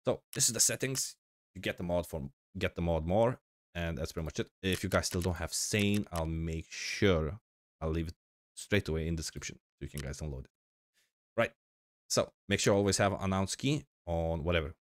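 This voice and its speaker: A young man with a very deep voice talks casually over his gameplay, his voice a little crackly from being under the weather. He rambles with fillers and restarts, and the sound is dry and close to the mic. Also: normal speed, no echo. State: sick, slightly crackly voice. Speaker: gaming channel host.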